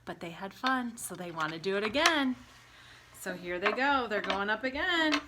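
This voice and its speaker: A woman teacher retelling a story with props for young children, speaking simply, asking the listener questions and acting out exclamations in an animated way. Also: mid-range voice, moderate pace, very noisy.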